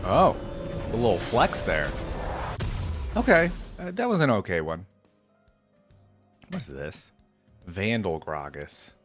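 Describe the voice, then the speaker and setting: A man's voice: on the low side, big pitch swings, slow, pauses often, over music. A man giving casual reaction commentary as a video creator, thinking aloud and hedging his opinions as he goes.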